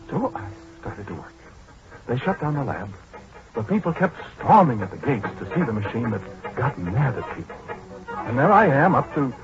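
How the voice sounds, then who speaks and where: An American actor playing a fussy, exasperated middle-aged scientist who speaks hesitantly and excitably in a 1940s radio drama. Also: male voice, fairly high pitch. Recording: muffled 1940s radio broadcast with music.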